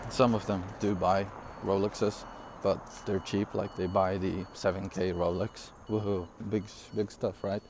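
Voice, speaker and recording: A man in his twenties from Syria, for whom English is not his first language, giving an energetic, rambling business pitch straight to camera with frequent fillers and laughs. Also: measured pace, hardly any pauses, noisy background. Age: 20s. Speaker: online business coach. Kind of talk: casual direct-to-camera talk.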